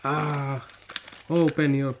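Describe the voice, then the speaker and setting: Slow, halting Finnish-accented man. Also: on the high side, flat delivery, background noise. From Finland. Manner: halting, repeats words while searching for them. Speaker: toy unboxing host. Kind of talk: casual unboxing commentary.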